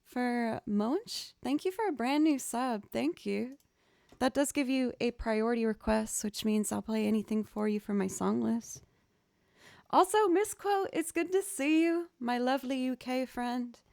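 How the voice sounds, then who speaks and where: Soft-spoken woman with a raspy voice. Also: on the high side, a little slow, no room sound, studio quality. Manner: quiet, gentle, laid-back talk. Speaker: musician hosting a live stream. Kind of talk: casual livestream chat with viewers.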